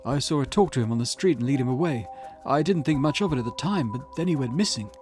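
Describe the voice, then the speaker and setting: A man's voice: medium pitch, lively intonation, fast talker, darkish tone, faint music behind, voice pushed up, lightly acted. A man voicing a frightened, pleading male client with a nervous stammer, reading visual novel dialogue. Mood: fearful, insistent.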